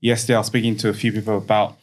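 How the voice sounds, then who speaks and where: A man's voice: on the low side, little pitch movement, very fast. A man hosting a podcast interview, speaking casually with many fillers and frequent agreement. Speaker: podcast host.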